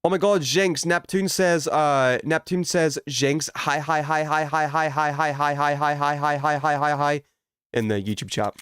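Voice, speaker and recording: A man doing casual, excitable gaming commentary, thinking aloud in slang as he chats with stream viewers. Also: fairly high pitch, measured pace, clear, resonant tone, dry recording, clean studio sound. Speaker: video game livestreamer.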